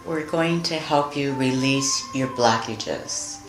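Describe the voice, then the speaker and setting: A woman leading a guided meditation in a soothing, deliberate manner, leaving long pauses between phrases. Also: rumbling low. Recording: roomy echo with music behind. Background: music.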